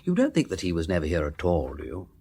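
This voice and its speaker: An actor playing a jaunty, inquisitive aristocratic sleuth in a radio drama, with an upper-class English accent and breezy old-fashioned slang. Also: male voice, low voice, huge pitch swings, quick pace, warm tone, slightly rough, full character voice. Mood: pondering, brisk.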